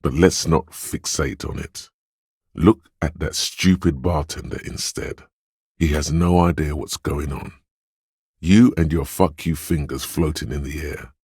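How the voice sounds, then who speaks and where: A man voicing a suave, wry inner voice of a detective in a video game, narrating in a measured, knowing way. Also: very deep voice, darkish tone, husky, no echo, studio quality, full character voice. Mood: sly, urging. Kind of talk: second-person game narration.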